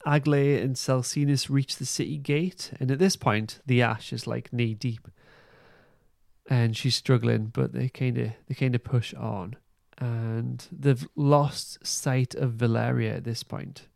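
A man hosting a podcast, giving a casual recap in an informal, halting way. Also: mid-range voice, fairly even intonation, vocal fry, a little mellow, dry recording, studio quality. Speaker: podcast host. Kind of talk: casual plot recap and review.

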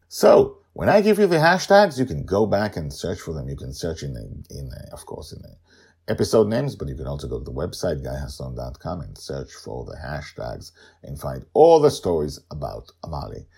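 A man telling a story as podcast host and author, emphatic, with dramatic pauses and informal commentary. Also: a bass voice, creaky, darkish tone, breathy edge.

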